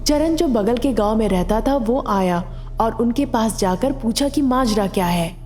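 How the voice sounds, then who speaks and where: A woman's voice: fairly high pitch, animated, measured pace, a little mellow, big-room sound, soft background music. A woman narrating a scripted story as a storyteller.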